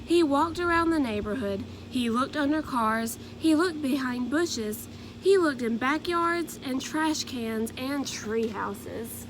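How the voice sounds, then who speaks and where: A woman narrating a children's storybook aloud in an expressive, deliberate way. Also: high voice, background noise.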